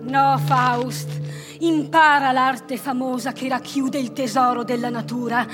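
An actress playing a tempter spirit who urges forbidden arts, speaking theatrical verse in a radio drama. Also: female voice, high-pitched, steady tone, a little slow, music in the background. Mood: tempting.

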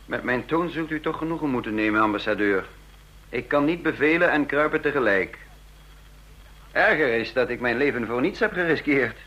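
An actor in a radio play playing a cool, wry and insolent secret agent with a self-possessed way of talking. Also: male voice, medium pitch, expressive, normal speed, sharp tone. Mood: defiant. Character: cool, insolent male secret agent posing as an industrialist's son. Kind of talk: radio play acting with first-person narration. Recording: clear hum and noise, old radio recording.

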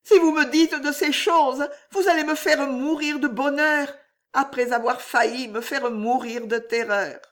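A woman's voice: high voice, slow, fairly bright tone, voice pushed up. A woman reading aloud and voicing a young woman courtier who is trembling, ardent and scheming, pleading with a king. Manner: breathless, pleading, emotional.

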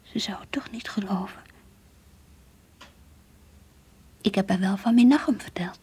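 An actress playing an earnest, devout, brooding schoolgirl in a radio drama, confiding intensely in a husky, breathy voice. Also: medium pitch, a little fast, darkish tone. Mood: pensive, secretive. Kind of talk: radio drama acting with diary-like narration.

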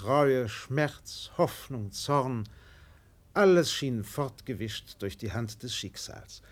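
Slow, expressive male radio play narrator. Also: mid-range voice. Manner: solemn, measured, with dramatic pauses.

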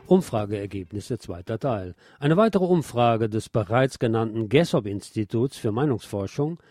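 A man's voice: lowish voice, animated, normal speed, a little mellow, no echo. A man presenting on radio, reading scripted news and commentary. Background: music.

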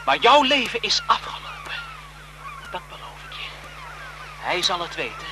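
Obsessive male lover, bitter and desperate, melodramatic radio-thriller delivery, wildly varied pitch, noisy vintage broadcast. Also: wildly swooping pitch, normal speed, pushed and bright, exaggerated voice. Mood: bitter, threatening. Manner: pleading, impassioned, melodramatic. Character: lovesick, desperate male photographer turning bitter and vengeful. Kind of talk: 1970s Dutch radio thriller acting.

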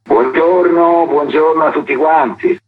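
A man's voice: on the high side, fairly even intonation, a little slow, phone-quality sound, a crowd in the background. A man, a theatre director explaining his work conversationally in an interview.

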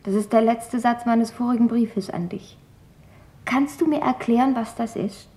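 A woman's voice: on the high side, moderate pace, dark tone, close to an everyday voice. An actress playing a thoughtful, earnest teenage girl diarist, reflective and questioning, in a German radio drama. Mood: reflective, wondering. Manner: questioning, reflective reading of her own writing.

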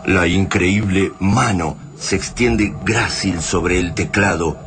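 A man's voice: sits low, takes it slowly, fairly bright tone, faint music behind. A man with an Argentine accent narrating a radio drama in a grave, suspenseful, dramatic manner.

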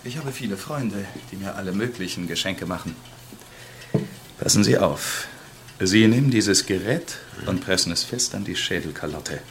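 A low-voiced scientist explains things with polite, sinister calm. He is measured and matter-of-fact, in a radio drama scene with clear ambient noise. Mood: instructive, pleased. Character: sinister, courteous male scientist obsessed with brain samples.

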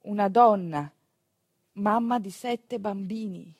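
A woman performing a theatrical monologue as a storyteller of rural midwifery, with a dramatic, emphatic delivery full of deliberate pauses. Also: medium pitch, darkish tone, slightly rough, no room sound, clean studio sound. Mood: grave, hushed. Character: female storyteller recalling a rural midwife's work. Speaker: stage actress narrating a monologue.

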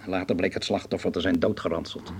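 An actor playing a cautious, grave, fatherly older German man in a radio drama, with a measured, formal and guarded delivery. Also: low voice, steady tone, darkish tone, a little hiss or hum, natural voice. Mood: worried, guarded.

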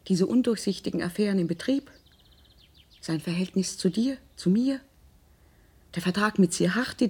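A middle-aged mother in a radio play. Her voice is soft-edged and dark, with some huskiness. She speaks earnestly and confidingly, weighing her words and leaning on the important ones. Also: lowish voice, slightly rough, heavily acted. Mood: grave, sad. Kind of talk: naturalistic German radio drama acting.

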